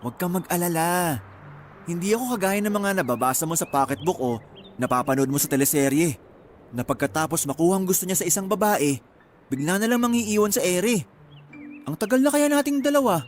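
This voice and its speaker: An actor playing a pushy, sweet-talking young Filipino college boyfriend in a radio drama, coaxing and insistent. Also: male voice, a little high, a little hiss or hum, close to an everyday voice. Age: young adult. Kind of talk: Tagalog radio drama acting.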